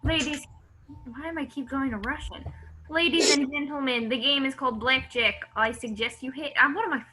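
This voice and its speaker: A woman's voice: high voice, fairly quick, bright, forceful tone, rough recording. A woman playing a formal, officious casino dealer in a comedic play reading, sometimes fumbling the script. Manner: formal, stumbling over the script.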